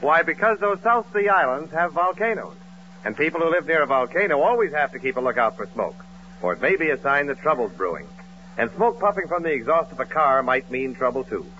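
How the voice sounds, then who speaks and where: Old time american radio announcer guy, forceful n animated, muffled 1940s broadcast. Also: fairly high pitch, slight background noise. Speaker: announcer and comic sidekick.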